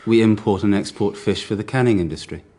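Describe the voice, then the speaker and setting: A man's voice: lowish voice, fast talker, dark tone, natural voice. An actor playing a guarded, prickly businessman under questioning in a radio drama, curt and defensive. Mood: matter-of-fact.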